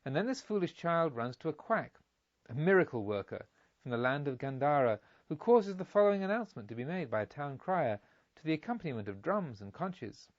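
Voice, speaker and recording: A man's voice: on the high side, very animated, a little fast, lo-fi sound. A man narrating a storybook expressively, as in an audiobook.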